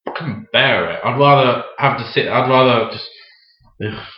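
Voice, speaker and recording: A young man co-hosting a casual podcast, chatting in an animated way and slipping into playful voices. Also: mid-range voice, normal speed. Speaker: podcast co-host. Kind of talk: casual chat. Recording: echoey room, dull lo-fi sound.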